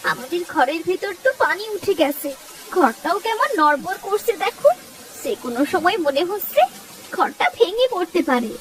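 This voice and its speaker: Casting: woman as nagging cartoon village mum, very high swooping voice, anxious, set back over music. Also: big pitch swings, moderate pace, distant mic, slight background noise, poor audio. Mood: alarmed. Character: anxious, scolding poor village mother. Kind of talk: cartoon voice acting.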